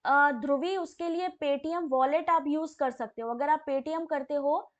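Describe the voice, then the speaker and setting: Hoarse high-voiced woman teaching live. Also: unhurried, highs cut off. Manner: chatty, addresses viewers by name. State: hoarse, sore throat. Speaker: online exam-prep teacher. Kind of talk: live online class, answering chat.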